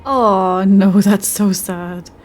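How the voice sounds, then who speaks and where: A woman's voice: mid-range voice, steady tone, very slow. A woman game streamer chatting casually and somewhat apologetically while playing. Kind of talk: casual livestream chat while gaming. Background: faint game music.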